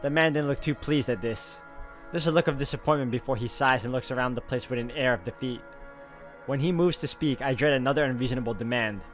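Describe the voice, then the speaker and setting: Man, mid-pitched voice, reads game text aloud steadily, casual asides, loud background music. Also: fast. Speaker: gameplay narrator. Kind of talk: reading visual novel text aloud with asides.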